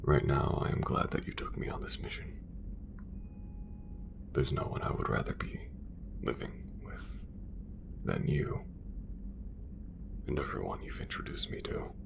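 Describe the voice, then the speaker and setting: A man voicing a calm, devout male alien assassin in an ASMR romance roleplay, whispering in slow, hushed, formal phrases. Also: extremely low pitch, exaggerated voice. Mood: tender. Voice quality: gravelly whisper.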